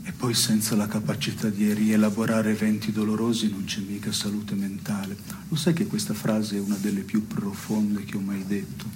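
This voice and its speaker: An actor playing a dry, probing man in an absurdist family dialogue, speaking in deliberate, mock-formal phrases in a radio stage play. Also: on the low side, completely flat delivery, a little mellow, a little hiss or hum, close to an everyday voice, low-quality recording. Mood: mock solemn.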